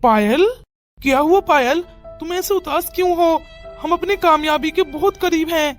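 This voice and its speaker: A woman's voice: very high voice, normal speed. A woman voicing a polite, puzzled young village man in a cartoon, speaking earnestly, with music behind. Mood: puzzled, concerned. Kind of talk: Hindi cartoon moral story dialogue.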